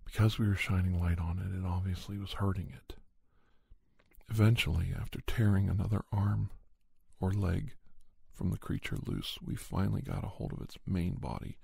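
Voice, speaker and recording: Male actor as grave, gentle doctor, paranormal investigator narrating a podcast tale. Husky, hushed, very dry close sound. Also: low-pitched, fairly even intonation, normal speed, no room sound, exaggerated voice. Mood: grave, suspenseful. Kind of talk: ASMR scripted storytelling.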